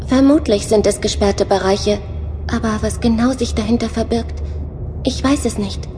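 A woman voicing a female onboard computer in an audio drama. She speaks softly and earnestly, lonely and longing to have a body. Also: a little high, moderate pace, background noise, lightly acted. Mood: troubled. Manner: soft-spoken, earnest, searching. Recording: music underscore behind voice.

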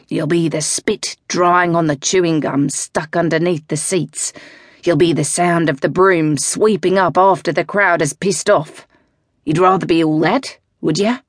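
A woman's voice: low-pitched, creaky, husky, dry recording, studio quality, heavily acted. A woman doing a sardonic male coach. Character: middle-aged male cycling coach, sardonic, needling.

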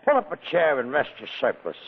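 An actor playing a grumpy husband getting tipsy on medicine in a 1940s radio play. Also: male voice, fairly high pitch, huge pitch swings, brisk. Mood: tipsy, merry. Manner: gruff then slurring and merry. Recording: tinny 1940s radio broadcast, faint hiss.

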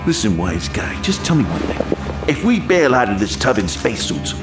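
An actor playing a nervous, grumbling, rough-spoken crook's sidekick in a radio play. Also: male voice, mid-range voice, sing-song, moderate pace, close to an everyday voice. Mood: irritated. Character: whiny, nervous, uneducated male crook, a doubting sidekick. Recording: roomy echo, music behind.

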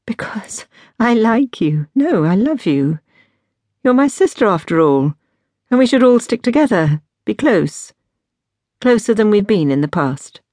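Woman voicing a gentle, remorseful elder sister, dry sound. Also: medium pitch, very animated, measured pace, no echo, pitched above the performer's own voice. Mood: earnest, affectionate. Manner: sincere, apologetic, gentle. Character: softened, remorseful eldest sister, kinder after grief. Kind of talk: audiobook character dialogue.

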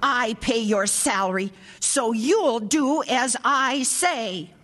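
High-pitched, haughty old widow. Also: female voice, lively intonation, slow pace, fairly bright tone. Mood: outraged, demanding. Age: older. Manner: imperious, demanding, easily affronted. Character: haughty, indignant old widowed mother-in-law and grandmother. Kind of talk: radio drama acting.

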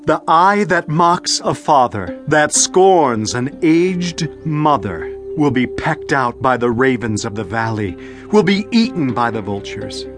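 An actor playing a solemn ancient sage, reciting scripture in a theatrical, deliberate and emphatic manner in a dramatized audio Bible. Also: male voice, mid-range voice, expressive, over music, lightly acted. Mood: stern, emphatic. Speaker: Bible narrator and reader.

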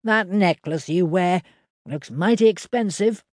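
A woman voicing a meek, worried male farmer, speaking in a low, slow drawl. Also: darkish tone, no echo, studio quality, lightly acted. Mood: uneasy.

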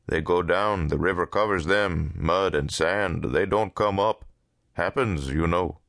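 Audiobook role: male reader as weary, blunt rural grumbler, folksy broken grammar, low and slow. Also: vocal fry, a bit bright, no echo, studio quality, full character voice. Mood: resigned. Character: gruff, weary, grumbling rural man with sore ribs.

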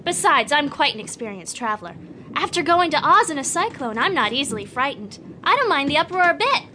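A woman's voice: high voice, big pitch swings, brisk, slight background noise, full character voice. A woman playing a plucky, polite young farm girl from Kansas in a radio drama. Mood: cheerful, confident. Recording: loud storm and sea effects behind. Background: storm at sea.

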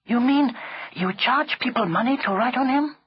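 A man's voice: extremely high pitch, normal speed, slightly rough, lo-fi sound, voice pushed up, exaggerated voice. A man voicing an earnest, curious young girl in a storybook, plain-spoken and determined. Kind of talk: storybook character voice.

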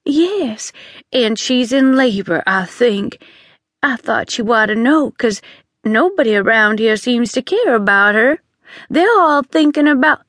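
A woman's voice: a little high, expressive, unhurried, a bit bright, no room sound, pitched above the performer's own voice. A woman voicing a flustered, talkative maid in an audiobook, anxious and loyal, with breathless, emphatic phrasing. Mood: anxious, urgent.

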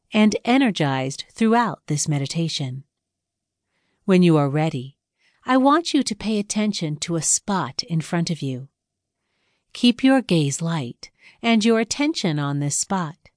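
A woman narrating a scripted guided meditation in a measured, soothing, instructional way with pauses between phrases. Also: on the low side, darkish tone, dry recording, clean studio sound.

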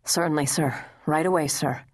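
A woman's voice: deep voice, normal speed. A woman voicing a surly, hungover male army sergeant answering his commander with grudging obedience.

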